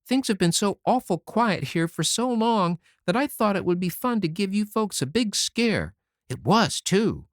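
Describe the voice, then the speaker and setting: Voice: sing-song, unhurried, no echo, clean studio sound. A reader voicing a mischievous six-year-old boy who pleads and questions eagerly, in an audiobook. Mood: cheeky. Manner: high, eager childish pleading. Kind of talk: audiobook character voice.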